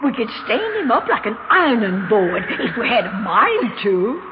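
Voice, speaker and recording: A woman's voice: on the high side, big pitch swings, moderate pace, highs cut off, background noise. An actress playing a cranky, bossy old mother in a 1960s radio drama, scolding in genteel, old-fashioned phrases. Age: older. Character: imperious, cranky old mother, darkly matter-of-fact about death. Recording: band-limited 1960s radio recording with music.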